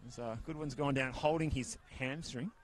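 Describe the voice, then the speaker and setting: A man calling a football match outdoors at a ground, soft-spoken and steady, speaking close into a handheld mic. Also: medium pitch, normal speed. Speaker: football commentator. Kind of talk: live football commentary. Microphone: handheld mic held very close.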